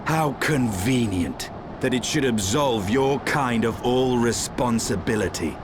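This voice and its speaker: A man playing a stern, sceptical knight in a fantasy game, speaking archaic lines in a cold, measured, sardonic manner. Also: mid-range voice, lively intonation, background noise, close to an everyday voice. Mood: sardonic, distrustful. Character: stern, bitter, sceptical male knight, dragon-hating warrior. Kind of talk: dramatic fantasy game acting, archaic speech.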